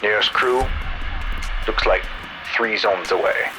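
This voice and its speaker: Male sci-fi captain acted, slightly low voice, clipped and steady under pressure, loud music behind. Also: takes it slowly, low-quality recording. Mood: grave, wary. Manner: clipped, military, matter-of-fact. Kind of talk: sci-fi audio drama radio dialogue.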